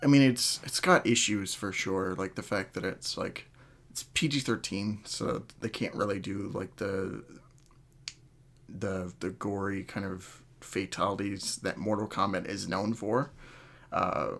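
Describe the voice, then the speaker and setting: A man hosting a casual podcast chat, talking in a halting, hedging way as he thinks aloud. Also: low voice, fairly even intonation, fairly bright tone. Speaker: podcast host.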